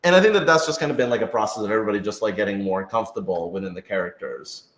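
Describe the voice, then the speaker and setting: Low-voiced man in casual chat, roomy sound. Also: animated, very fast, a bit bright. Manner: informal, hedging, self-deprecating. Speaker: radio drama writer and director answering questions. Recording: roomy, echoing room sound.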